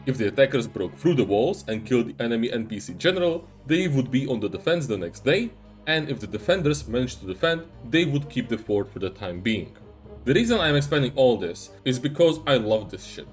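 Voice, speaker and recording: A man's voice: medium pitch, very animated, faint music behind. Earnest young man. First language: Czech. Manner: measured, firm, earnest. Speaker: YouTuber. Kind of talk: scripted commentary.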